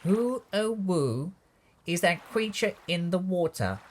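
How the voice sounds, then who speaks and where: A man voicing a sensitive young misfit dinosaur in a storybook, with drawn-out hooting cries. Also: high voice, sing-song, slight background noise, pitched above the performer's own voice, full character voice. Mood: wondering. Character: sensitive young male dinosaur, gentle misfit, plaintive hooter.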